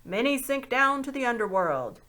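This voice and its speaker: Woman doing a grim formal gnome guard from a fantasy storybook, old-timey wording, pitch moves around. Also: very animated, normal speed, bright, forceful tone. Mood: solemn. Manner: formal, archaic phrasing. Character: solemn gnome sentry of the underworld, male.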